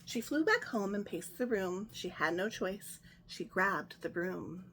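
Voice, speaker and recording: A woman hosting a children's story time, reading a picture book aloud in a gentle, sing-song way. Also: mid-range voice, lively intonation, unhurried, a little hiss or hum.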